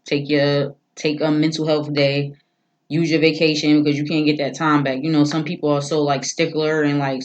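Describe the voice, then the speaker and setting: A woman's voice: low-pitched, lo-fi sound, a little hiss or hum. A young woman co-hosting a podcast, talking casually and often checking in with her listeners. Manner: conversational, often checks in with "you feel what I'm saying". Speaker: podcast co-host. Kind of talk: casual chat.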